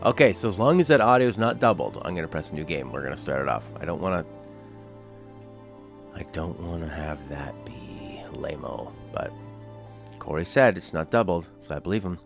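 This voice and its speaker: Deep-voiced man chatting over game music. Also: moderate pace, vocal fry. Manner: chatty, reacting aloud with sudden excited exclamations. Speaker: game streamer. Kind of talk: casual let's-play commentary. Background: game music and sound.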